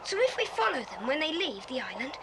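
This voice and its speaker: Bold, high-voiced boy leader. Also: sing-song, a little fast. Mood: commanding. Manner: decisive, commanding. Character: bold, bossy boy adventurer, leader of children. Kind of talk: children's adventure audio drama acting. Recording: faintly hissy old cassette tape.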